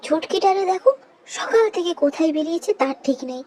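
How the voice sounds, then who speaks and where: A woman's voice: very high voice, expressive, brisk, mellow, a little hiss or hum. A woman voicing a harried village mother in a cartoon, chiding in an exasperated way.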